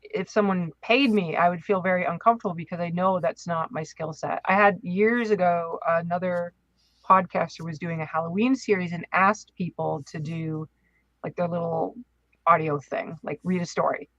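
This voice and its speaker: Man, bright forward tone, casual podcast co-host, dry, slightly lo-fi band-limited sound. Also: high voice, steady tone, moderate pace, gravelly, dry recording. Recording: dull band-limited audio.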